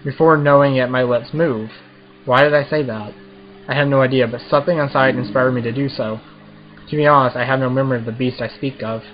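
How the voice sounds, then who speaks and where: A man's voice: mid-range voice, steady tone, a little fast, dull audio. A man doing a casual let's play, reading visual novel text aloud and commenting as the host of a gaming video.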